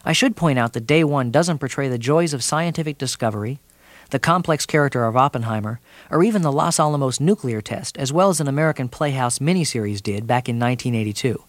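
A man's voice: medium pitch, fast talker, dry recording. Dry-voiced male TV critic. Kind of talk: scripted broadcast review.

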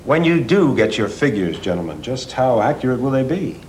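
An actor playing a gruff, impatient army general in a TV movie clip, speaking bluntly and with scorn. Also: male voice, mid-range voice, sing-song, normal speed, darkish tone, a little hiss or hum, natural voice. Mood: skeptical. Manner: scornful, demanding. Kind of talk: film drama clip.

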